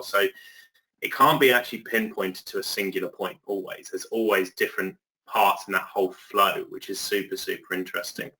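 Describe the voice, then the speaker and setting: A man's voice: low-pitched, bright, forceful tone, low-quality recording. An English man speaking as a guest on a webinar, chatty and loose, with many fillers and restarts, on a video call. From England. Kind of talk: conversational webinar discussion.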